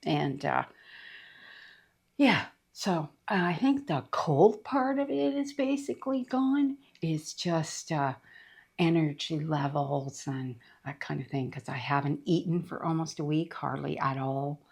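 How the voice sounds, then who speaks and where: A middle-aged woman chatting casually about books she read, her voice rough after being sick, rambling with lots of fillers. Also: low-pitched, expressive, slow, a little mellow. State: voice rough from recent illness. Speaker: book reviewer. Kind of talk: casual book review chat.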